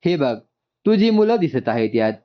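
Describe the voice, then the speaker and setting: A man's voice: on the high side, big pitch swings, normal speed, highs cut off, pitched above the performer's own voice. A man voicing a doting old grandfather sharing happy news affectionately. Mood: cheerful. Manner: warm, affectionate.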